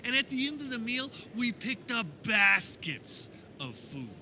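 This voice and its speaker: Casting: male actor as an earnest fisherman disciple, high voice with wide swings, wistful radio-theater monologue, noisy recording. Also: big pitch swings, pushed and bright, full character voice. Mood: reflective, wondering. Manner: conversational, wistful storytelling with wondering pauses. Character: earnest fisherman disciple of Jesus, fondly recounting memories. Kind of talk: dramatic first-person monologue in religious radio theater.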